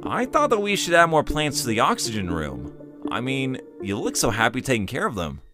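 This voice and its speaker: Man voicing an awkward, wildly expressive cartoon impostor. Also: medium pitch, a little fast, over music. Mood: sheepish. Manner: hesitant, melodramatic outbursts. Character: awkward lovestruck impostor crewmate, secretly murderous, anxious. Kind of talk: comic dub voice acting.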